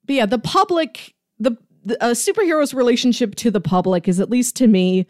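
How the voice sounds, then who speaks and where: A woman's voice: a little high, a little fast, a little mellow, dry recording, clean studio sound. A woman co-hosting a podcast, discussing films in a casual, analytical, conversational way with asides. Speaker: podcast co-host debating films.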